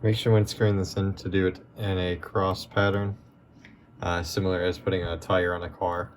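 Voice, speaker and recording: A man hosting a relaxed, chatty repair walkthrough, his voice going a bit hoarse as he works. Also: low voice, normal speed, slight background noise. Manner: relaxed, chatty, with many fillers. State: losing his voice. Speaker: tech repair video host.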